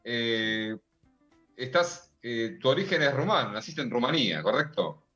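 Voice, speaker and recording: A man's voice: mid-range voice, animated, unhurried, pushed and bright, slightly rough. A man with an Argentine accent hosting a radio interview, with brief affirming interjections. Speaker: radio host. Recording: dull lo-fi sound with faint music.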